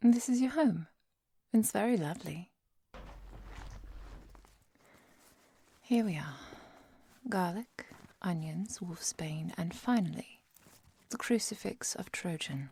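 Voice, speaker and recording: A woman playing a kind, protective vampire hunter in a soft, often whispered ASMR roleplay, speaking in a gentle, measured and formal way. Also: lowish voice, expressive, slightly rough, heavily acted. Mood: protective, calm. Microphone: close microphone, dry.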